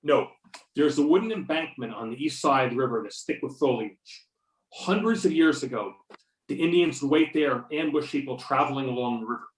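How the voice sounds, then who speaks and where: A man's voice: medium pitch, natural voice. A man playing a determined investigator in readers theater, matter-of-fact and commanding, over a remote call. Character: Beckett, determined male investigator giving orders. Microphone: remote videoconference or phone call. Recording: roomy, distant mic on conference call.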